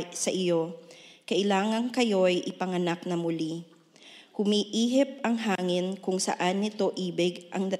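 A woman's voice: mid-range voice, darkish tone. Woman in a reverberant church. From Philippines. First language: Hiligaynon. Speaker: scripture reader. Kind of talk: reading scripture aloud in church. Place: church hall. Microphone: handheld microphone.

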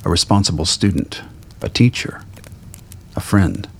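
A man reading scripted dramatic fiction as a narrator, with a gravelly, husky voice. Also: low voice, animated, a little slow, in a whisper.

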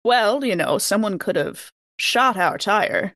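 Unhurried woman, dry sound. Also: medium pitch, animated, fairly bright tone, dry recording. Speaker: tabletop game player. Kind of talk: improvised role-play chat.

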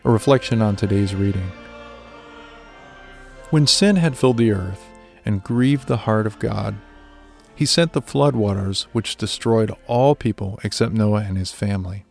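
A man reading a scripted devotional reflection in a measured, earnest way. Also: lowish voice, vocal fry, soft background music. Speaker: devotional speaker.

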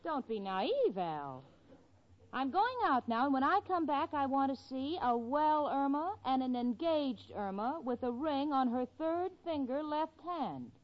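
An actress playing a level-headed, wry, protective young roommate in a 1940s radio comedy, brisk and no-nonsense. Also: female voice, sits high, big pitch swings. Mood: wry, firm. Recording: muffled 1940s radio broadcast with audience.

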